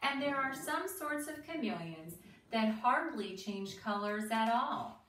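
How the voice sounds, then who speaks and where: A woman reading a children's book aloud like a teacher, expressive and deliberate, pausing for asides and questions to young listeners. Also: fairly high pitch. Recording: roomy, distant mic with faint noise.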